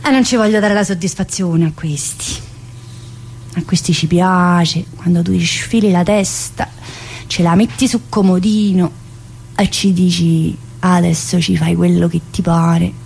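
A woman's voice: lowish voice, steady tone, measured pace, creaky, darkish tone, slight background noise. An actress playing a restless, needy, brash woman prisoner in a theatrical monologue, speaking colloquial, dialect-laced Italian with a southern accent. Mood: bitter, defiant. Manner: colloquial, dialect-laced, addressing an unseen teacher.

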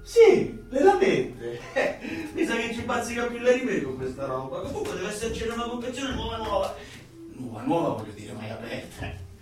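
Radio drama: cheerful, rambling young shop lad, high lively voice, heard from a distance. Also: male voice, normal speed, exaggerated voice. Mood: chatty, amused. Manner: colloquial, rambling, jokey salesman's chatter. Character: chatty, cheerful young junk-shop assistant, a cook's son. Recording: very echoey, distant, faint music behind.

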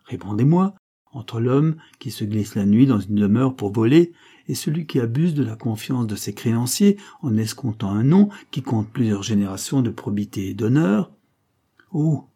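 A man's voice: mid-range voice, slightly rough, voice pushed up. A man voicing a stern older aristocrat who scornfully accuses his nephew. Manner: forceful, indignant reproach.

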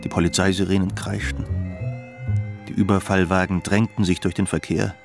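A man's voice: sits low, little pitch movement, normal speed, vocal fry, a little mellow. A man narrating a radio play poetically, in solemn, incantatory phrases. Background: music with guitar.

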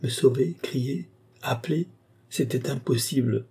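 A man's voice: mid-range voice, measured pace, gravelly, a little mellow, a little hiss or hum, pitched above the performer's own voice. A man voicing a passionate, anguished adulterous wife in a storybook, pleading in a desperate, melodramatic way. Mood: anguished confession. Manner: melodramatic, imploring.

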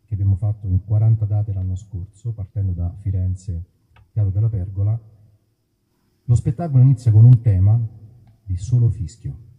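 A man's voice: lowish voice, slightly rough, narrow, tinny audio. A man talking to his audience in a colloquial, theatrical way during a live outdoor stage show. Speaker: musician, singer performing a show. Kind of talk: live stage banter between songs. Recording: live stage performance with music.

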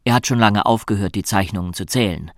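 A man's voice: lowish voice, fast, no room sound. A man reading scripted dramatic narration for a radio play. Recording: studio narration over clear music. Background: music.

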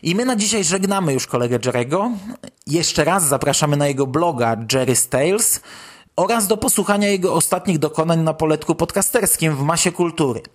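A man's voice: fairly high pitch, lively intonation, fairly quick. A man hosting a podcast, giving conversational commentary. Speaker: podcast host.

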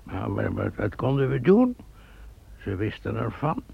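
A man playing a gravelly, musing pond keeper. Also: lowish voice, sing-song, a little slow, no room sound, exaggerated voice. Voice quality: gravelly, raspy. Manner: musing, stammering. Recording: dull lo-fi recording.

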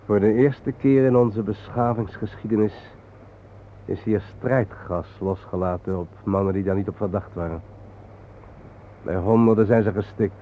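Actor as lowish-voiced, grave, reflective guide. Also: male voice, steady tone, normal speed, narrow, tinny audio, close to an everyday voice. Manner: solemn, reflective, explanatory. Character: grave, reflective male guide to war memorials. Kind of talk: naturalistic radio play acting. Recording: thin, noisy old radio recording.

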